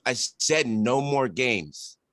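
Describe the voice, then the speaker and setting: Menacing gunman played by a man, demanding, very animated intonation, dry recording. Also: mid-range voice, slow pace, no room sound. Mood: menacing, impatient. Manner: threatening, demanding. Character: menacing gunman holding women hostage. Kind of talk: dramatic table read.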